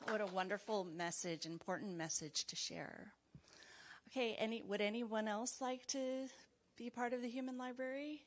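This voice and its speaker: A woman's voice: fairly high pitch, steady tone, moderate pace, slight background noise. Inviting woman hosting a discussion. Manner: warm, inviting, informal. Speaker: event host. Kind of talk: facilitating discussion.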